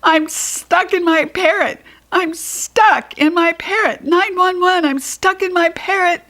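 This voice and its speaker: A woman voicing an excitable, pleading little girl in a children's storybook, dramatic and stubborn, with emphatic childlike phrasing. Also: very high-pitched, takes it slowly, pitched above the performer's own voice, heavily acted, low-quality recording. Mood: panicked.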